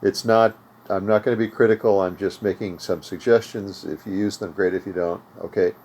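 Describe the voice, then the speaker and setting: An older man with an American accent giving an informal instructional talk, in halting, measured explanations with pauses. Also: deep voice, fairly even intonation, a little mellow. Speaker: host of amateur radio tutorial series. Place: home radio room.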